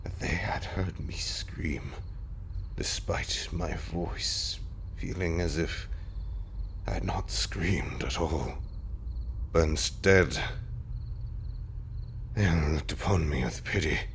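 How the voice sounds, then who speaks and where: A man playing a haunted, tender undead husband in an intimate audio roleplay monologue, speaking haltingly and often slipping into breathy whispers. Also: extremely low pitch, a little hiss or hum, full character voice. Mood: haunted, pained.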